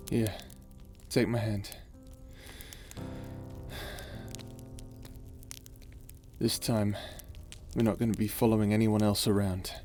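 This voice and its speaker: A man playing a tender, weary space pirate in an intimate ASMR roleplay, speaking softly and hesitantly over music. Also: lowish voice, close to an everyday voice. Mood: tender, solemn. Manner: soft, hesitant, confiding.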